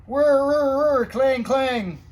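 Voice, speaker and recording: A man reading a children's picture book aloud in an animated, expressive way, with asides to young listeners. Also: very high-pitched, slow pace. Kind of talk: animated storytime read-aloud.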